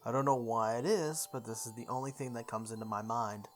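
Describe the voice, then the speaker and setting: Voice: mid-range voice, fairly quick. Game narrator reading over music. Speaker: let's play host reading a visual novel aloud. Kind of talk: read-aloud narration of a game's story. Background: background music.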